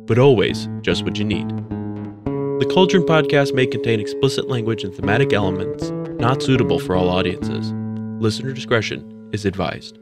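A man reading a scripted podcast intro in a slow, measured way. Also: on the low side, expressive. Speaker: show announcer. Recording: voice over loud guitar music.

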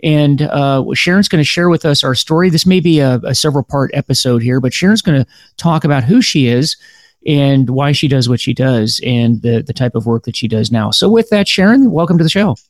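A man's voice: medium pitch, expressive, brisk, no echo. Talkative American man hosting a podcast. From United States. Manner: rambling, many fillers and restarts. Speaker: podcast host. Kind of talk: casual interview.

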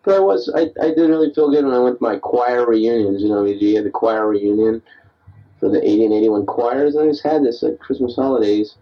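A man's voice: mid-range voice, brisk, recorded from a distance, muffled recording. A man of thirty-one, a bit drunk after beers, rambling and self-deprecating as he records a late-night diary on an answering machine. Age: 30s. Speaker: diarist recording himself.

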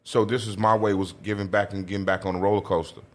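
A man's voice: on the low side, level tone, fast talker. A man chatting casually as a guest, emphatic and slangy, repeating phrases to drive points home. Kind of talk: casual chat.

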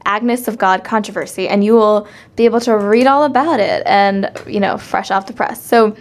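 A woman's voice: medium pitch, moderate pace. A woman telling a personal story as an interview guest, casual and emphatic, like a stage actress recounting an anecdote.

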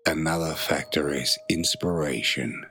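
Very deep-voiced man guiding meditation. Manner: soothing, measured, with long pauses between phrases. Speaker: meditation guide. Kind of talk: guided meditation narration.

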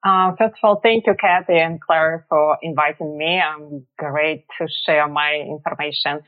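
Halting Russian-accented woman on a phone line. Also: low-pitched, steady tone. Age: 40s. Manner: halting, searching for words, frequent pauses. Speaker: podcast guest. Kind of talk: personal story in an interview.

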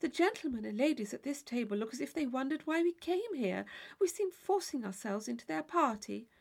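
A woman voicing a polite, uneasy young gentlewoman in an audiobook, speaking in a hushed, earnest, genteel way. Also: high-pitched, animated, a little fast, vocal fry, voice pushed up, exaggerated voice.

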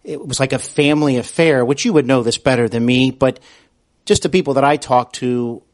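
Guy hosting an interview podcast, middle pitch, conversational, kinda hesitant long questions. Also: a little fast, darkish tone.

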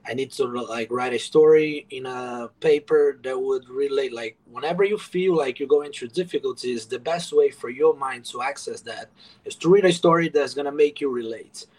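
A man's voice: medium pitch, moderate pace, darkish tone, poor audio. A man from Brazil, a guest author telling his story in an earnest, rambling way full of fillers on a casual podcast.

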